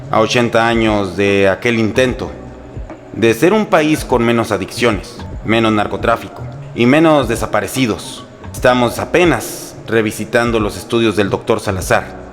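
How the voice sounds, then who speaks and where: A man's voice: on the low side, normal speed. A man with a Mexican accent narrates history from a script in a humorous, sarcastic and colloquial storytelling style. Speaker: host and narrator. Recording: music bed under the voice. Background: background music.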